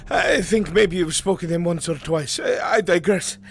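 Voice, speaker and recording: A man's voice: on the high side, brisk, vocal fry. A man voicing a breathless, anxious male messenger in a fantasy roleplay, stammering and pleading in formal, deferential speech. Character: breathless, frightened, deferential male messenger runner, mud-soaked. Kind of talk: live improvised fantasy roleplay. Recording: noisy live game stream audio.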